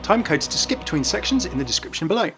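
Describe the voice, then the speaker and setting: A man's voice: high-pitched, sing-song, quick pace. A man hosting a gaming video, reading scripted narration. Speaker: YouTube video host. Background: music.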